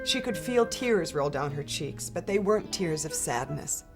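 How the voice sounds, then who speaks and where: A woman reading a children's picture book aloud in a gentle, expressive storytelling manner. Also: low-pitched, moderate pace. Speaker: storybook reader. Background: soft guitar music.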